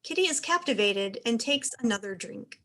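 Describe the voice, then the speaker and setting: A woman's voice: fairly high pitch, animated, moderate pace, pushed and bright. A woman narrator reading stage directions aloud as scripted narration.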